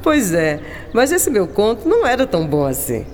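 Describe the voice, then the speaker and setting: A woman's voice: medium pitch, moderate pace. Lilting, philosophical woman writer. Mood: reflective. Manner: musing, affectionate, expressive. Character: gentle, philosophical woman writer confiding in a girl. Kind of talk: radio drama acting. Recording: long reverb, clear background noise.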